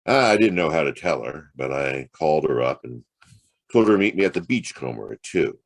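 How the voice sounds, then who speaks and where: An actor playing a wry, streetwise private detective in a hard-boiled audio drama, with a sardonic, conversational delivery. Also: male voice, extremely low pitch, sing-song, moderate pace, full character voice. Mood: weary storytelling. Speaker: actor narrating and playing the lead.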